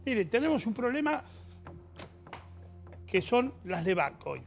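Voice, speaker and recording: A man's voice: very high-pitched, sing-song, gravelly, faint music behind. Guy on a tv panel, stumbles and repeats himself, voice goes up and down a lot. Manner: halting, repeats words, pleading. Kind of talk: television debate.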